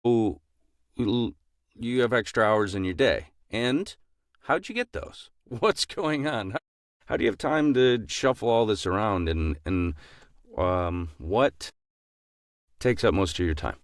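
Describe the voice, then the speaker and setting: A male podcast co-host with a very low voice asks his guest questions in a playful, teasing tone. The recording is close and dry. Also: animated, dry recording. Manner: playful, teasing, quick to laugh. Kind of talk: casual podcast conversation.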